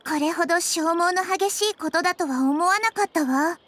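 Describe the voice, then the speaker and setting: A woman playing a small, wise girl with magical powers in a Japanese video game, speaking in a measured, reflective manner. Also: very high-pitched, fairly bright tone. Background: background music.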